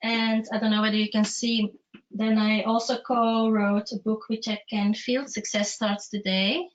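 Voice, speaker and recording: A woman's voice: on the high side, level tone, a little slow. A woman whose first language is not English, chatting casually and self-deprecatingly as an interview host. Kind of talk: casual online conversation. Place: remote video call. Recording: dull lo-fi video-call audio.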